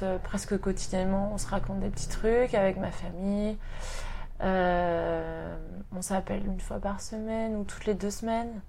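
A woman in her thirties from Brittany, a guest talking casually in an interview, with many pauses and fillers. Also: mid-range voice, a little mellow, a little hiss or hum. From Brittany, France. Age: 30s.

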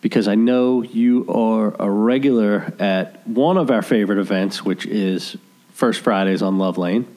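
A man's voice: lowish voice, unhurried, dark tone. A man hosting a local radio talk show, chatting casually with many fillers and restarts. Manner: rambling, lots of fillers and restarts. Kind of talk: casual chat.

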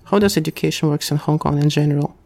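A man hosting a podcast interview, speaking with careful, slightly halting phrasing. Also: on the high side, creaky, a little mellow. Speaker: podcast host. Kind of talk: casual interview.

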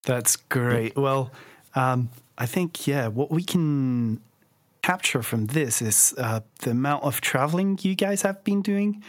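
A man hosting a podcast, enthusiastic and halting, with many ums and restarts. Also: mid-range voice, animated, dry recording, studio quality. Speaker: podcast host. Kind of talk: podcast interview.

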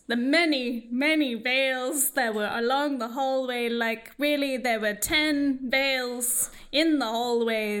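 A woman's voice: high-pitched, a bit bright, clean tone. A woman narrating a comic radio play like a mock storybook, with wry, rambling asides.

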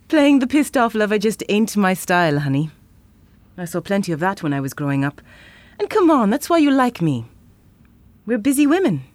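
A woman's voice: medium pitch, big pitch swings, moderate pace, darkish tone, no echo, close to an everyday voice. An actress playing a frank, playful, independent woman in a naturalistic audio drama.

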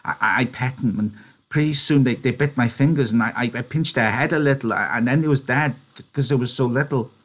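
A man voicing a slow-witted, gentle big farmhand who stammers and pleads like a child. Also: medium pitch, pitched above the performer's own voice, natural voice. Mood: pleading.

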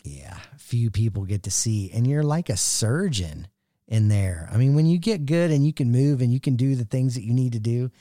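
A man's voice: medium pitch, lively intonation, moderate pace, dark tone, no room sound, clean studio sound. A man with an American accent hosting a casual interview in a laid-back, slangy, enthusiastic way. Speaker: interviewer, host.

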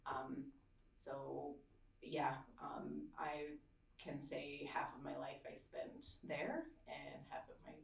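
A woman in her thirties from Slovakia, a swimwear designer, talking in a casual interview with many ums and soft laughs. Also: low voice, steady tone, takes it slowly, gravelly, roomy sound, recorded from a distance, poor audio. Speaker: swimwear designer and business owner.

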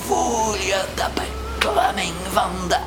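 Slow, haunted, obsessed doom-warner. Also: male voice, high-pitched, big pitch swings, heavily acted. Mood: feverish, urgent. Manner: ominous, dramatic, slipping into breathy whispers and outbursts. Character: haunted, obsessed man warning a would-be traveller. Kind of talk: theatrical radio play monologue. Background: music soundscape.

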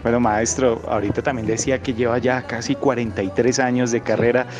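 A man asks measured, courteous questions in a mid-range voice. His tone is formal and attentive. Music plays clearly behind him. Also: medium pitch, flat delivery, dull audio. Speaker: interviewer. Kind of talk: interview questions.

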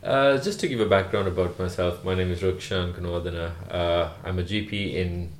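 Man from Sri Lanka, very low voice, podcast guest, unhurried with fillers, roomy echo. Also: slight background noise. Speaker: guest, rural GP. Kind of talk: podcast interview answers.

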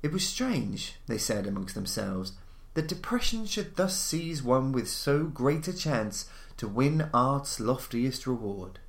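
A man reading gothic horror stories as a podcast narrator, with a formal, measured, dramatic storytelling delivery. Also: medium pitch, big pitch swings. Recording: roomy, echoing voice recording.

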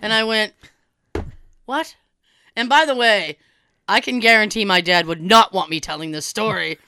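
Young woman, cold-hoarse, bright crisp voice, dry close sound, animated storyteller. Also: mid-range voice, moderate pace, dry recording. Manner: animated, swearing, acts out quoted dialogue. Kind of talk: casual storytelling chat.

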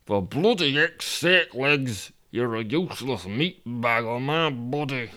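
A man's voice: medium pitch, expressive, slow pace, bright, forceful tone. A man playing a belligerent, demanding, foul-mouthed man in a comic story. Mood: exasperated. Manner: blustering, demanding, sweary. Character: belligerent, foul-mouthed man bossing his own legs.

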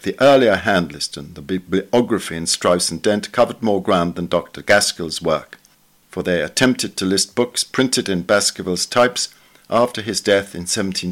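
Low-pitched man reading formally. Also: a bit bright. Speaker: reader. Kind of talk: scripted article narration, read aloud.

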